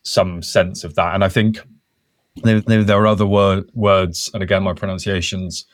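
A man's voice: low-pitched, level tone, moderate pace, no room sound, clean studio sound. A man speaking as a podcast guest, reflective and thinking aloud in a casual interview. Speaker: podcast guest, conservation worker.

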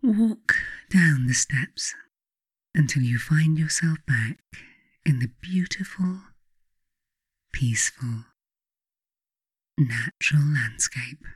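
A woman narrates in a reflective, scripted style suited to an audiobook or guided meditation. Her phrasing is measured and deliberate, broken by frequent pauses. The recording is dry, with little room sound around the voice. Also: low voice, lively intonation, slightly rough, dry recording. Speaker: author reading own book, guided meditation narrator.

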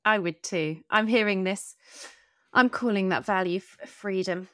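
A woman's voice: medium pitch, a little slow, a bit bright, no echo, clean studio sound. A woman hosting a casual interview, musing aloud and asking informal questions in a conversational way. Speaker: podcast host, interviewer.

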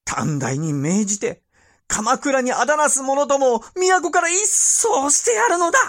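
An actor playing an imperious, impatient and suspicious medieval Japanese ruler in a period audio drama, with theatrical, drawn-out emphasis. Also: male voice, very high-pitched, big pitch swings, a bit bright, full character voice. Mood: impatient, ruthless. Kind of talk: period radio drama acting in archaic speech.

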